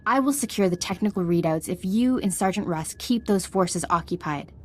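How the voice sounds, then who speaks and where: A woman playing an earnest, composed Jedi ally in a video game, formal and measured in manner. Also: medium pitch, lively intonation, natural voice. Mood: briefing. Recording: music behind the voice.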